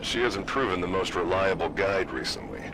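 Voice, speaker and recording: A man's voice: low-pitched, animated, normal speed, background noise. A man playing a wary, plainspoken soldier in a video game, with a dry, sardonic manner. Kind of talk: video game cutscene acting. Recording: loud music behind. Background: loud game music.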